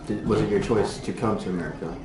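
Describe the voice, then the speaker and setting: A man's voice: on the low side, fast, noisy background. A man asking short, direct interview questions.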